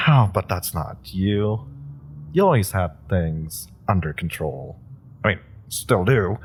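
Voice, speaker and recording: A man acting out a fanboyish young superpowered rookie who rambles, trips over his words and keeps breaking into giggles. The voice sits low with a husky edge. It swings lively in pitch while the delivery stays unhurried, in an audio roleplay drama. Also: exaggerated voice. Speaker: voice actor.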